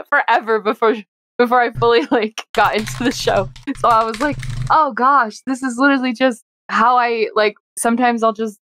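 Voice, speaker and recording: A woman's voice: on the high side, fairly even intonation, moderate pace, a bit bright, dry recording. A chatty woman voice actor talking casually on an online panel, peppering her sentences with "like". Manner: chatty, frequent "like". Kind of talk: casual live panel chat. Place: online voice call.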